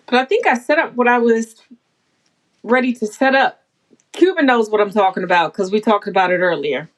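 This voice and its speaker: A woman's voice: medium pitch, a little fast. A brash, playful woman from the Bahamas chatting casually and swearing freely on a livestream. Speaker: livestream host.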